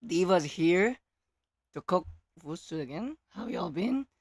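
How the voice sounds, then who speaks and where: A game livestreamer with a hoarse, partly lost voice, chatting casually and excitably with viewers. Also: mid-range voice, slow pace, no room sound. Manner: excitable, exclaiming greetings to chat. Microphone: close microphone.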